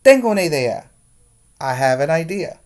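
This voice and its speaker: A man voicing an excited, eager young girl in a storybook read-aloud. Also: a little high, normal speed, voice pushed up.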